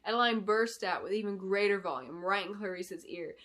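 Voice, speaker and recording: A woman reads fantasy fiction with a bright, forceful tone. Her storytelling is dramatic and expressive, with deliberate phrasing throughout. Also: mid-range voice. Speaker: author reading her book. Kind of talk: dramatic scripted fantasy narration.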